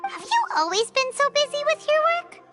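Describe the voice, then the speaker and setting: A woman's voice: very high-pitched, sing-song, fairly quick, music in the background. A woman voicing a small, childlike, chatty and nosy fairy companion in a video game, with a cutesy manner. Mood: chirpy. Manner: speaks of self in third person, cutesy.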